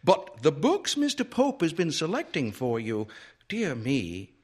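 A man's voice: on the high side, huge pitch swings, unhurried, husky, voice pushed up, heavily acted. A man voicing a prim, old-fashioned, teetotal woman librarian in a story, prissy and moralizing. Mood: prim, helpful.

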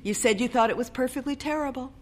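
A woman voicing a young newlywed wife who chatters in a quick, breathless, pleading way during a short story reading. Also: high voice, a little mellow, a little hiss or hum, pitched above the performer's own voice, lightly acted. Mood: wounded.

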